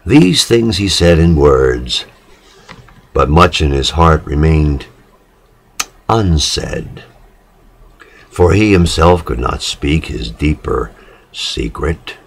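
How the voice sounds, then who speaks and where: Man, low pitch, slow pace, solemn prose-poetry reading, measured phrasing, deliberate pauses. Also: animated, gravelly, slightly rough. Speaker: reader.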